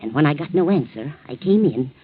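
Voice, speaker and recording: An actress playing an anxious, loyal female servant in a 1940s radio drama, husky and hesitant, on a muffled old recording. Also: low-pitched, expressive, a little fast. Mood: frightened, upset. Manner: hesitant, deferential.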